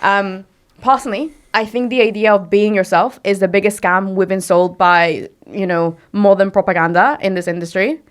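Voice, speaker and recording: A woman's voice: medium pitch, fairly even intonation, moderate pace. Young woman from Mexico, Spanish as first language, podcast guest, blunt and emphatic, mid-range voice. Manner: direct, emphatic, rhetorical questions. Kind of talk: casual interview talk.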